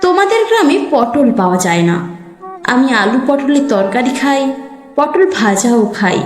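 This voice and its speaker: High-pitched, plaintive village daughter, recited by a woman. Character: sorrowful young village daughter, sold off, writing to mother. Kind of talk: dramatic Bengali poetry recitation as letter monologue. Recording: echoey voice over faint music. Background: soft background music.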